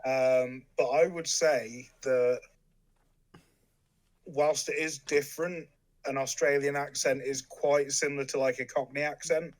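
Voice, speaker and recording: A young man with an English accent, a guest in a casual chat, relaxed with lots of ums and dry humor. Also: medium pitch, unhurried, low-quality recording. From England. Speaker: podcast guest.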